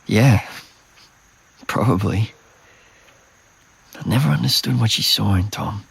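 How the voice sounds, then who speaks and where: Husky, low-voiced troubled young man. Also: takes it slowly, no echo, full character voice, low-quality recording. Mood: rueful. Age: young adult. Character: troubled, self-doubting young man haunted by his brother. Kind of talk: naturalistic audio drama acting.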